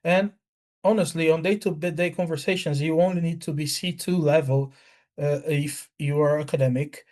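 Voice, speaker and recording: A man with a notably high voice and an Italian accent, chatting casually as a native speaker of Italian and Portuguese. He talks in a halting, repetitive way and is blunt about it. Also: steady tone, darkish tone. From Brazil. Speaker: language learner. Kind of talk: casual chat.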